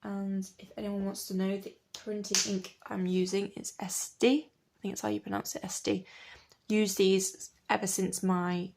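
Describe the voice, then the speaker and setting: A woman in her late twenties talks casually while she works, her voice gravelly and croaky from a cold. Her chat wanders and corrects itself mid-sentence, as if she is thinking aloud over her art. The pitch sits in a middle range for a woman. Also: little pitch movement, measured pace, background noise. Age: late 20s. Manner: chatty, rambling, self-correcting. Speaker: artist and printmaker.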